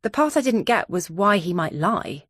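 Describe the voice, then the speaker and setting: A woman's voice: mid-range voice, sing-song, normal speed, no echo, clean studio sound. A woman reading scripted first-person thriller narration as an audiobook narrator.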